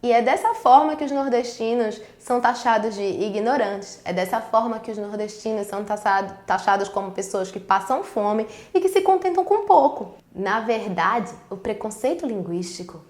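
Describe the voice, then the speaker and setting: A woman teacher with a Northeastern Brazilian accent explains earnestly and speaks directly to her pupils. Her voice sits a little high, and the recording has a roomy echo. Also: normal speed, hardly any pauses, darkish tone. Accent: Northeastern Brazilian, Pernambuco. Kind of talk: recorded school video lesson. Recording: echoey room.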